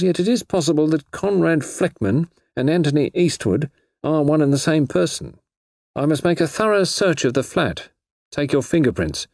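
A man voicing a polite, shrewd police inspector in an audiobook, genial and quietly amused, with a deferential, matey manner. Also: mid-range voice, no room sound, studio quality, pitched above the performer's own voice. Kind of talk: audiobook character voice.

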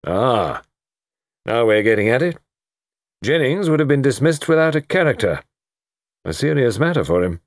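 A man voicing a brisk, stern middle-aged chief constable in a storybook, questioning in a clipped, official way. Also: medium pitch, sing-song, no echo, clean studio sound, pitched above the performer's own voice, lightly acted. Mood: businesslike, shrewd.

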